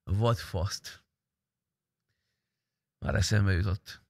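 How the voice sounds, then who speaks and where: A man's voice: fairly low pitch, gravelly, dry recording, clean studio sound. Young man, game streamer, casual chat, informal, foul-mouthed. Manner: informal, swears freely. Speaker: streamer playing online roleplay game. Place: indoor streaming room at home.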